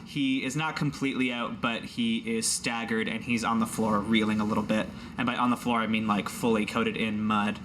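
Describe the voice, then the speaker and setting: A man's voice: lowish voice, level tone, moderate pace, few pauses, background noise. A man running a tabletop fantasy game, narrating the action with casual, playful, vivid description. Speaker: game master.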